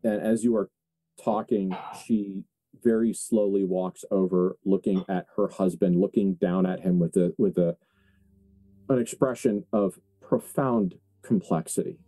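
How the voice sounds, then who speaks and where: A man narrating a tabletop horror game as game master, in a halting, deliberate way as he searches for words. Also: deep voice, fairly even intonation, mellow, soft background music, poor audio.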